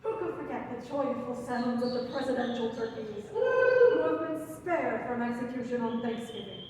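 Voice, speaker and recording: A woman's voice: on the high side, big pitch swings, normal speed. A woman playing a gushing press correspondent in a radio comedy, drawn out, flowery and mock-grave. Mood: gushing, stalling. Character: breathless gushing press reporter, stretching out flowery scene-setting. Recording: very echoey hall, distant, faint noise.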